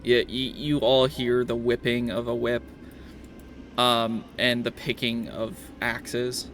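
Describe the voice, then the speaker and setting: Gravelly man running a tabletop game. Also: mid-range voice, flat delivery, slow, fairly bright tone, slight background noise. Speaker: game master. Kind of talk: casual tabletop game narration.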